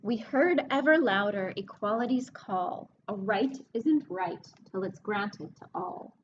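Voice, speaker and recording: Lively woman reading aloud to children. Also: mid-range voice, slow pace, muffled recording, a little hiss or hum. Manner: expressive, explanatory, addressing young listeners. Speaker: children's librarian hosting story time. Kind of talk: read-aloud picture book storytelling with asides to children.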